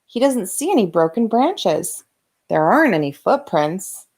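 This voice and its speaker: Slow, expressive woman reading a bedtime story. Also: mid-range voice. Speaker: host of a bedtime story read-aloud channel. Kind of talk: expressive children's storybook narration.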